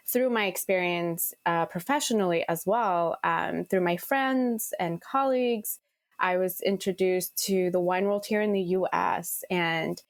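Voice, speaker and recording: A woman in her thirties, born in Ecuador and raised in Queens, talks through her career as a guest, with fillers and small restarts along the way. Her voice sits a touch low, and the recording is dry with no room sound. She speaks in an earnest, conversational way. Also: no room sound. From born in Ecuador, raised in Queens, New York. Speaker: guest, wine PR consultant. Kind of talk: conversational interview storytelling.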